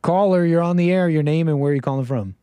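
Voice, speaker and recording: A man's voice: a little high, fast, warm tone, smooth, no room sound, studio quality. A man hosting a call-in show, talking casually and animatedly, often laughing. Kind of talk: casual live-stream talk.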